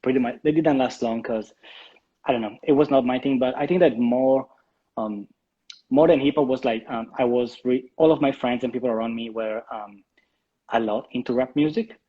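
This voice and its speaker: A man in his thirties from Italy with an Italian accent, speaking English as a guest in a live interview, relaxed and hesitant with many ums and restarts. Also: mid-range voice, moderate pace, husky, highs cut off. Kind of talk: casual interview, live stream.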